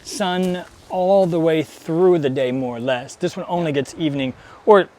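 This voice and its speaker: Man, slightly high voice, relaxed co-host, casual explainer, outdoors. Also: normal speed. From Georgia, US. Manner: relaxed, explains and fills in for his partner. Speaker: garden show co-host. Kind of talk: casual garden tour chat. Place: outdoor garden.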